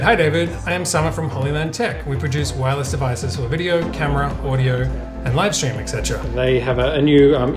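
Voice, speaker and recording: A man in his thirties with an Australian accent, talking casually through a tech review as a vlogger. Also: a little high. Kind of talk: casual unboxing and review narration.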